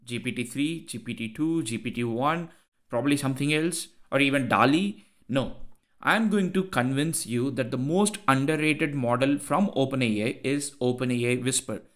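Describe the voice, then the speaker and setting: A man's voice: mid-range voice, normal speed, a bit bright. Indian man with a thick accent. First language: Tamil. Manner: earnest, repeats phrases for emphasis. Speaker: tech YouTuber. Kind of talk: screen-share tutorial. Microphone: headset mic.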